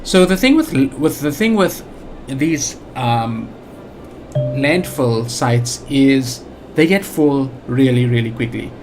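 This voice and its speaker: A man's voice: mid-range voice, lively intonation, takes it slowly, music in the background, poor audio. A man with a South African accent giving casual let's play commentary, thinking aloud with lots of fillers and restarts. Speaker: gaming channel host.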